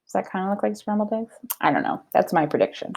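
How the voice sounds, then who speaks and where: A woman's voice: medium pitch, animated, fast talker, vocal fry, noisy background. A woman in her thirties, a teacher, reading a storybook aloud to children at home and explaining reading skills in a teacherly way. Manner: warm teacherly, explaining and questioning. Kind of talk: children's read-aloud lesson. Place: home room.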